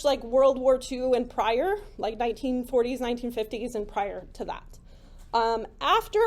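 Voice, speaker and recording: A woman lecturing a class in a loose, chatty way, often checking in with the room. Her voice sits high and is going a little hoarse. She sounds like she is in her thirties. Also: a little mellow. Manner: conversational, many "like" and "right?" fillers. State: slightly hoarse, losing her voice. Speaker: psychology lecturer.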